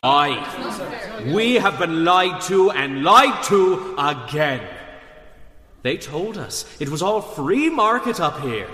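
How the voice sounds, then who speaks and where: A man's voice: a little high, sing-song, slow pace, bright, forceful tone, some chatter behind, heavily acted. A man playing a rousing, theatrical Irish working-class rebel orator in a radio drama. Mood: indignant. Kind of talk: radio drama political speech. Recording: echoey hall with long reverb.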